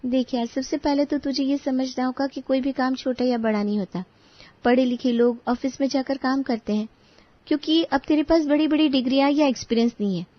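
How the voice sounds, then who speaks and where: A woman voicing an outspoken adult friend in an animated story, talking in a frank, persuasive way. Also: high voice, dry recording, lightly acted. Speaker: voice actor. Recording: dull, dry studio recording.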